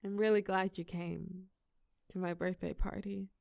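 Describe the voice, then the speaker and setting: Girl voice actor doing a sweet shy nerdy young woman, romantic roleplay, hesitant n sincere, some vocal fry, very dry sound. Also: on the low side, flat delivery, darkish tone, no echo. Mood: tender, sincere. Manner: hesitant, halting, earnest. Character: shy, sweet young woman, geeky collector, touched by confession. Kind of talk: intimate one-sided romantic audio roleplay.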